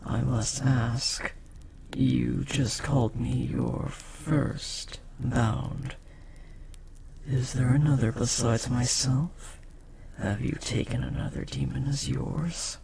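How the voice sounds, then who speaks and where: A man voicing a powerful, possessive demon bound to a master, speaking formally and theatrically in a breathy, gravelly voice in an audio roleplay. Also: medium pitch. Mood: devoted, hushed. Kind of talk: dramatic audio roleplay monologue. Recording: clear background noise, ambience.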